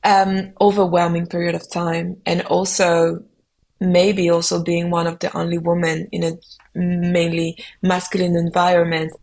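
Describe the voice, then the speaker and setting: A young adult woman, a French native speaker, answering podcast questions reflectively and pausing often to find her words. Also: on the low side, monotone, darkish tone. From Belgium. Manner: reflective, searching for words with frequent ums. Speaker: musician guest.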